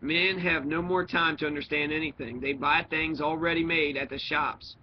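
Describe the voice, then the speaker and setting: A man voicing a lonely, philosophical talking fox from a storybook, speaking in earnest, deliberate dialogue. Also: on the high side, fairly even intonation, lightly acted. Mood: earnest, pleading. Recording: dull lo-fi recording with noise.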